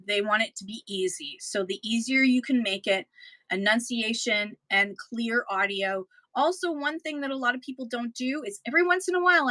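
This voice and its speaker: A Canadian woman talks her viewers through practical selling tips in a casual, chatty way, full of ums and restarts. Her voice is bright and slightly high. The sound is close and dry. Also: no room sound, low-quality recording. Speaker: livestream seller giving selling tips. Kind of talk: casual instructional talk to viewers.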